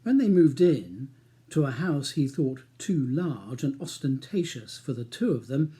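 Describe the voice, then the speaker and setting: A man's voice: medium pitch, very animated, unhurried, warm tone. A man reading his own crime novel as a scripted audiobook narration.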